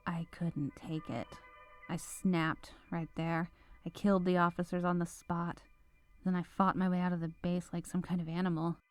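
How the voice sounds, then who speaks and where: A woman acts out a renegade cyborg witch, a former soldier weighed down by remorse. Her voice is lowish and very mellow, soft and confiding, with hesitant phrasing. It is a scripted ASMR roleplay with music playing underneath. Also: close to an everyday voice. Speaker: ASMR voice performer. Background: background music.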